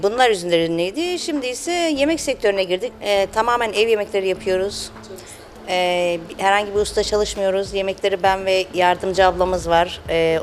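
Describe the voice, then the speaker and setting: A woman answering as a small business owner in an unscripted interview, with hesitant, halting phrasing. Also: medium pitch, a little hiss or hum. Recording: music behind the voice. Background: background music.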